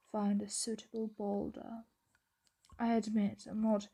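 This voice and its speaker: A possessive, tender dragon voiced by a woman murmurs in an intimate ASMR roleplay. The delivery is very slow and coaxing, often sinking to a whisper. The tone is dark and velvety, with a creaky edge, caught close on the mic. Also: mid-range voice, no room sound. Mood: calm, matter-of-fact. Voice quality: breathy, soft, often whispering. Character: possessive, tender dragon hoarding a human pet. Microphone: close microphone.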